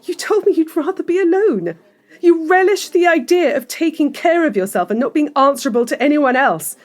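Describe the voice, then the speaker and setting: An actress playing a middle-aged, lovelorn mistress in an audio drama, articulate, insistent and emotional. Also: female voice, sits high, animated, fairly quick. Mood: indignant, pleading. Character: desperate pleading mistress, lovelorn and long-suffering.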